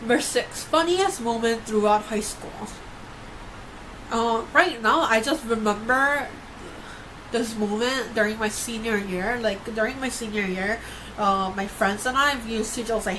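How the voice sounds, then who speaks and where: A young woman who grew up in Korea and New York, chatting casually to camera in a vlog, with lots of fillers and restarts. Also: on the high side. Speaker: YouTuber. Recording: noisy home recording.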